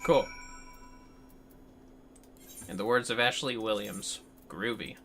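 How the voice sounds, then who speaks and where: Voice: mid-range voice, slow pace, a bit bright. A game streamer giving casual commentary on play and replying to chat, in a playful, muttering way with some swearing. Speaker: game livestreamer. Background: game music.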